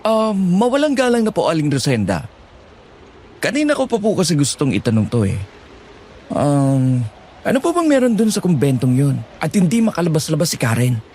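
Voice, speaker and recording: A man's voice: a little high, huge pitch swings, moderate pace, a little mellow, a little hiss or hum. A man playing a curious, uneasy young friend in a dramatized horror story, polite but blunt and inquisitive. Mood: nervously curious.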